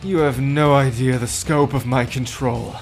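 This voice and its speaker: A man performs a scheming broker villain in an audio drama. He is smarmy and cowardly, with a medium-pitched voice and slow, theatrical delivery over faint music. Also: fairly even intonation, lightly acted. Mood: gloating, defiant.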